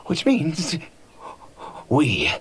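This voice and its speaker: An actor playing an ancient cursed nobleman in a video game, frail, desperate and unstable, speaking theatrically and haltingly with erratic swings. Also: fairly high pitch, wildly swooping pitch, slight background noise, full character voice. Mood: urgent. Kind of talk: dramatic video game voice acting. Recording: game audio with faint music behind.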